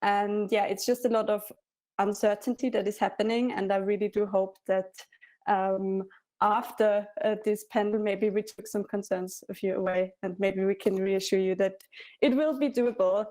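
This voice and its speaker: Give me a woman speaking in a level, even tone, hesitant and friendly. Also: medium pitch, normal speed. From Austria. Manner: friendly, hesitant, many ums. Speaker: cultural forum music officer. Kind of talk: conference introduction.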